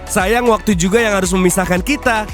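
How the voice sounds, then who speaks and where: A man's voice: high-pitched, fairly quick, a bit bright, faint music behind. A man reading a scripted show outro in an upbeat announcer manner. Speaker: show narrator.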